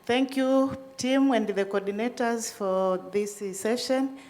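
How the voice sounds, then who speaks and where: A woman's voice: on the high side, slow, darkish tone. A middle-aged woman with a Tanzanian accent, a student making an earnest, unscripted comment from the audience.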